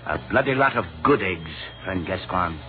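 An actor playing a breezy, good-natured cavalry lieutenant with a chummy, slangy, slightly hesitant manner in an old radio drama. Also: male voice, sits low, animated, normal speed, narrow, tinny audio. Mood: wry. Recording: thin, lightly noisy old radio recording.

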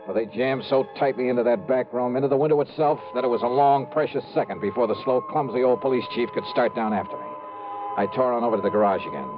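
Man playing hard-boiled investigator, wry, mid-pitched, 1950s radio drama, muffled, loud music behind. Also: fast talker, lightly acted. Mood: tense, wry narrating. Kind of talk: 1950s radio detective drama, first-person narration and dialogue. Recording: muffled old radio broadcast recording.

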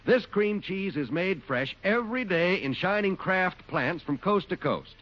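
A man announcing sponsor ads and credits for a 1940s radio show with a smooth, polished delivery. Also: high voice, animated, measured pace. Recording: dull 1940s radio broadcast with music.

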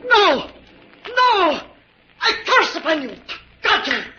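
A woman's voice: extremely high pitch, sing-song, slow, full character voice. A woman playing a raving old fortune-teller who hurls curses in shrieking, doom-laden radio melodrama. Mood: furious, cursing. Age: older. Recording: muffled vintage radio recording, faint noise.

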